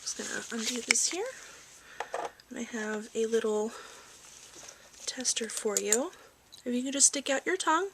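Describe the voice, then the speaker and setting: A woman with a fairly high, soft voice that often drops toward a whisper speaks as a doctor in an ASMR exam roleplay. She is patient and reassuring. There is faint noise on the recording. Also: moderate pace, long pauses. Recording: clearly noisy amateur recording.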